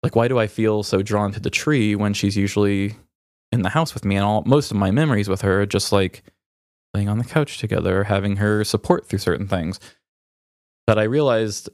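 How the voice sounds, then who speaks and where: A man who hosts a podcast, talking candidly and haltingly in an emotional, reflective way while grieving. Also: fairly low pitch, no room sound, clean studio sound. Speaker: podcast host. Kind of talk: raw, candid personal conversation.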